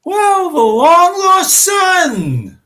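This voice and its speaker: Woman doing weepy needy old dad in a play reading, slow n plaintive, super swoopy pitch. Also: huge pitch swings, fairly bright tone, heavily acted. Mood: reproachful. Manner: plaintive, drawn-out, wounded delivery. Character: needy, weepy, easily hurt ageing father and husband. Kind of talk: amateur staged play reading.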